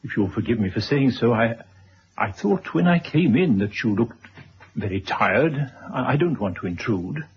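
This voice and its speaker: An actor playing a courtly, kindly older gentleman in a radio drama, speaking formally and hesitantly. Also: medium pitch, lively intonation, normal speed, lightly acted. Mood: gently apologetic. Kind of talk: 1970s radio drama acting. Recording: dull old radio recording, clear noise.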